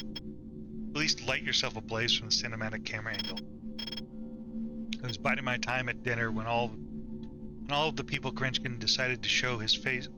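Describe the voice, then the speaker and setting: A man hosting a let's play, giving casual gameplay commentary and reading in-game text aloud. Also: mid-range voice. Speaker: let's play video host.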